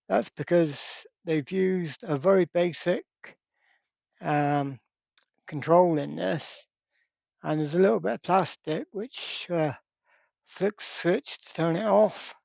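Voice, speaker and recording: Electronics hobbyist presenter, gravelly fry, dry close sound, casual step-by-step explaining. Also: medium pitch, slow, darkish tone, no room sound. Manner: informal, thinking aloud step by step. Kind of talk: casual workbench demonstration and explanation.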